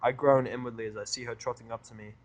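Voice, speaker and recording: A man narrating a first-person fan fiction audio drama in a wry, world-weary, expressive way. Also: medium pitch, quick pace.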